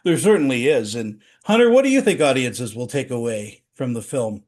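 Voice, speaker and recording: A man's voice: mid-range voice, expressive, normal speed, darkish tone. A man hosting an interview, asking the cast questions and laughing along. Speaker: interview host.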